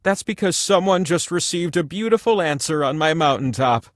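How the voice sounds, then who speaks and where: A man's voice: fairly high pitch, animated, moderate pace, no room sound, clean studio sound, close to an everyday voice. A man voicing a giant, ancient talking wheel in a video game, a jovial, grandiose and needy godlike host with a chatty, theatrical delivery. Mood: boastful, casual. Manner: chatty, theatrical, swings between gushing and mumbled asides. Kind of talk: comic video game character monologue.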